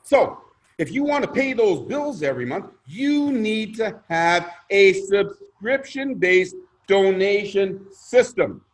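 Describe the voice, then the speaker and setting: A man presenting a motivational sales pitch in an emphatic, theatrical way, repeating phrases and asking rhetorical questions. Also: lively intonation, slow, low-quality recording. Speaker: presenter pitching a crowdfunding scheme.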